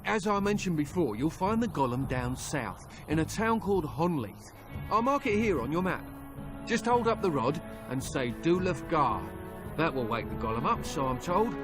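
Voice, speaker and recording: A man's voice: fairly high pitch, sing-song, moderate pace. An earnest, helpful father. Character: worried father, a helpful middle-aged man. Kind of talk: video game cutscene voice acting. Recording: game audio with music behind.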